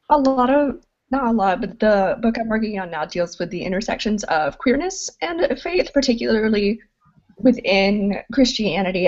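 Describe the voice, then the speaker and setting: A woman writer from the rural South, speaking hesitantly on an online panel and often trailing off. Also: medium pitch. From rural Southern United States. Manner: hesitant, trailing off, soft asides. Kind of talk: casual panel discussion. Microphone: video call. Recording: dull lo-fi online call audio.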